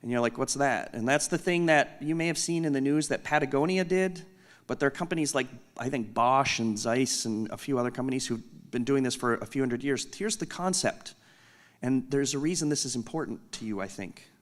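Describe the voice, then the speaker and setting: A man with a loud voice giving an unscripted conference keynote from a stage, candid and self-deprecating with joking asides. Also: medium pitch, brisk. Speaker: company executive giving a keynote.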